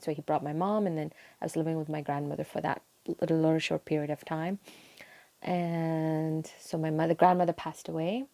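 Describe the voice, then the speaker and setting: A young woman from Sri Lanka, speaking softly as an interview guest and telling her story with frequent pauses and ums. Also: low-pitched. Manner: soft-spoken, frequent ums, meandering. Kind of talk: casual personal storytelling.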